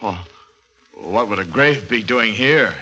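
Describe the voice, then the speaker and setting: A man's voice: lowish voice, expressive, slow, husky, exaggerated voice. A man acting a nervous, guilty murderer who narrates his crime tersely in a 1940s radio horror drama. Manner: terse, confessional, edgy. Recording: dull vintage radio recording with loud music.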